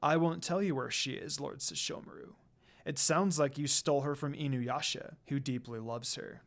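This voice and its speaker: A man voicing a calm, sinister male demon lord, scheming and smirking in a hushed, menacing way. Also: mid-range voice, normal speed, pitched below the performer's own voice, lightly acted. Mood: taunting.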